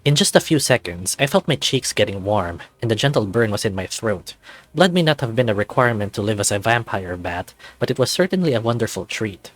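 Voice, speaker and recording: A man's voice: on the low side, expressive, brisk, dry recording. A man reading scripted first-person story narration for a visual novel.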